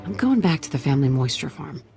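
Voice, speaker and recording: A woman's voice: deep voice, very animated, quick pace, vocal fry, warm tone, faint music behind, exaggerated voice. A woman playing a wary, plain-spoken dancer in a video game, casual and matter-of-fact. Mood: resigned.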